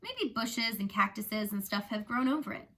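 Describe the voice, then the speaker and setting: A woman's voice: mid-range voice, sing-song, moderate pace, pushed and bright, exaggerated voice. A woman voicing an anxious, imaginative young schoolboy with morbid theories, dramatic and speculative, in a storybook reading.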